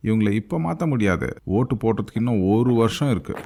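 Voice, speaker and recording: A man's voice: on the low side, expressive, fast, gravelly, mellow. A man voicing a cautious, sensible schoolboy friend in a cartoon. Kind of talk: cartoon dialogue.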